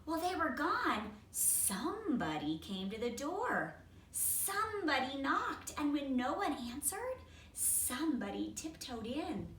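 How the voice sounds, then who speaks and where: Animated woman reading a children's story. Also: sits high. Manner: expressive, deliberate, with simple asides to young listeners. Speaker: storyteller reading a picture book aloud. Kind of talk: children's read-aloud narration. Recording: roomy, distant, noisy home recording.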